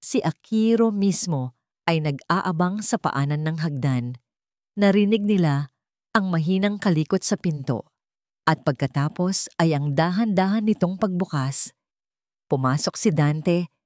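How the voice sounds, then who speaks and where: A woman's voice: on the low side, expressive, measured pace, darkish tone, dry recording, studio quality. A woman with a Filipino accent reading a dramatic story as a scripted narrator.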